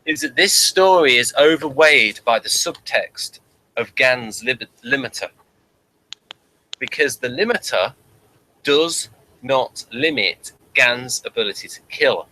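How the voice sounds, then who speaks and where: A man's voice: mid-range voice, animated, takes it slowly, pushed and bright, rough recording. A man co-hosting a review show in casual discussion, hesitant and repeating words as he thinks aloud.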